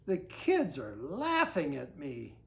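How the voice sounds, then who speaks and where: A woman voicing a young boy from a picture book, earnest and a little halting, with a proud imagination. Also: low-pitched, huge pitch swings, vocal fry, pitched below the performer's own voice, heavily acted. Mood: downcast. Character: young boy, downcast but proudly imaginative, storybook hero.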